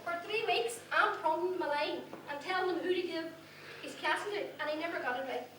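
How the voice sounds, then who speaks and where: A woman, a pantomime prompter, speaking in a deliberate, exasperated way. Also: very high-pitched. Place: stage in a hall, audience present. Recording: very echoey hall, distant, crowd noise.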